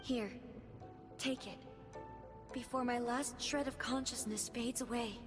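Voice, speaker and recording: A woman voicing a gentle, warm-hearted former goddess queen in a game, speaking softly and tenderly. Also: on the high side, steady tone, in a large hall, close to an everyday voice. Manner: soft, tender, measured, solemn in ritual lines. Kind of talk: game cutscene voice acting. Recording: game audio with music.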